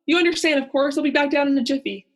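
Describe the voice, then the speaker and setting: A woman's voice: high-pitched, racing, lightly acted. A young woman playing a melodramatic, lonely, self-pitying male diver in a comic radio play, with a theatrical manner. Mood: exasperated, melodramatic. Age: young adult. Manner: theatrical, gushing then griping.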